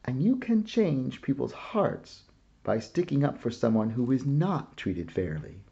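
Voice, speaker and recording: A man voicing a calm, proud mother in a read-aloud picture book, speaking gently and reassuringly. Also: medium pitch, unhurried, creaky, breathy edge, muffled recording, exaggerated voice.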